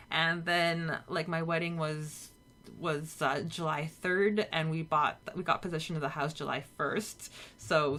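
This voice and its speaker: A woman in her thirties with a distinctly low voice co-hosts a podcast. She talks casually and conversationally, as if reminiscing with a friend. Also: fairly bright tone. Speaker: podcast co-host.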